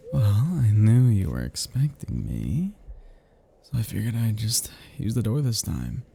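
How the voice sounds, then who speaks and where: Male actor as ancient vampire, ASMR roleplay, very dark gravelly voice, unhurried, halting, teasing, possessive. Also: lowish voice, huge pitch swings, a little hiss or hum, full character voice. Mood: teasing menace. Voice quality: soft, husky, gravelly. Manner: halting, teasing, chuckling under menace. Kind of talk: intimate ASMR roleplay, addressing the listener.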